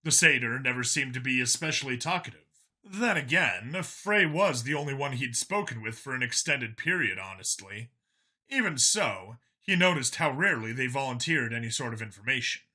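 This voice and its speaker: A man narrates a piece of fiction with a very bright, sharp-edged voice. His reading is expressive and dramatic, in the practiced manner of an audiobook narrator. The pitch sits in an ordinary male range. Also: moderate pace. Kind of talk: scripted story narration.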